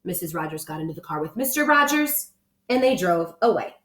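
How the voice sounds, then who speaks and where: A woman speaking as an elementary teacher, expressive and encouraging, in simple words for children and their families. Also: fairly low pitch, a little fast. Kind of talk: children's read-aloud with casual talk to families.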